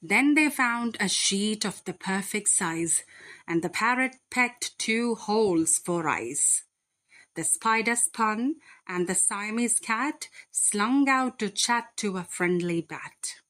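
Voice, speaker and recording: A woman reading a rhyming children's bedtime story aloud in a deliberate, expressive, dramatic way. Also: mid-range voice.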